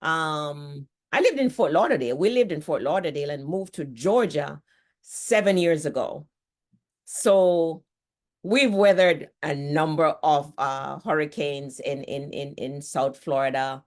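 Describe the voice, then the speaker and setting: A woman's voice: low-pitched, a little slow. A middle-aged woman from Jamaica with a Jamaican accent, a nurse and author chatting as a guest, repeating words as she talks. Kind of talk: casual conversational talk.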